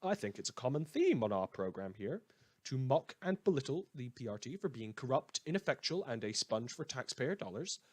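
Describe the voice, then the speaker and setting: A man hosting a scripted fictional radio show, telling it in a measured, wry and theatrical way. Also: medium pitch, big pitch swings.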